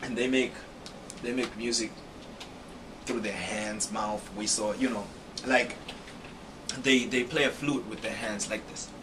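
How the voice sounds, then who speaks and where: A man from Zambia, answering interview questions in a lively way with many repeated "you know" phrases. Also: fairly low pitch, a little slow, long pauses. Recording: distant mic with background noise.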